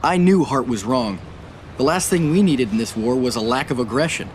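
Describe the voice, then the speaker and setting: A man's voice: mid-range voice, animated, moderate pace, slight background noise, natural voice. A man voicing a brash young navy officer. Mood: wry. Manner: wry, brash retrospective narration. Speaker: narrator and naval officer. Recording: war game cutscene with loud score.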